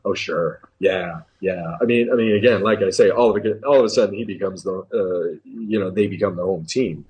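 A man's voice: deep voice, brisk, darkish tone, a little hiss or hum, rough recording. An American man commenting casually on basketball, opinionated and repeating phrases for emphasis. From New England, United States. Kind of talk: casual sports talk.

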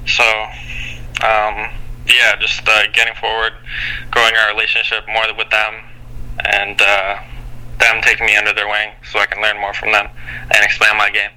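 A man's voice: lowish voice, completely flat delivery, bright, forceful tone, rough recording. A young Canadian man, a basketball player, giving interview answers full of fillers and restarts. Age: young adult. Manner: halting, many fillers and restarts.

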